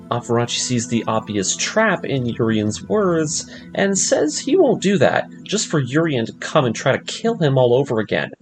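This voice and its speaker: A man delivering scripted narration, recapping a story in the manner of a video breakdown series. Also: medium pitch. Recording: voice-over with music underneath.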